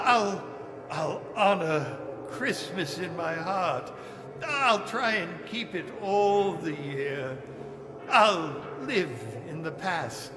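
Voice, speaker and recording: An old miser in a stage radio drama, high in pitch and very slow, stammering through every emotion with melodrama. It comes from an echoey hall with music behind. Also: male voice, full character voice. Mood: earnest pleading. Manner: halting, stammering, melodramatic delivery. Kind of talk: theatrical audio drama performed on stage. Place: live stage in a library hall.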